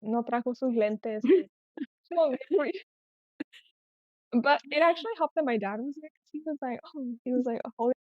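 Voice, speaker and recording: A woman with a fairly high voice and Spanish as her first language chats candidly as a podcast guest. She tells personal stories in a casual way, acting out little quotes as she goes. The sound is a little dull. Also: normal speed, a little mellow. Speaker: podcast guest, small business owner. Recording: roomy, echoey, slightly dull sound.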